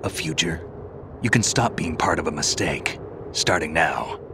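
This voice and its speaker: An actor in a video game cutscene playing a gruff, gravelly, world-weary veteran soldier who talks tersely and dryly. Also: male voice, rumbling low, animated, a little slow, background noise, full character voice. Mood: grave, resolute. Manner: terse, dry, unflappable.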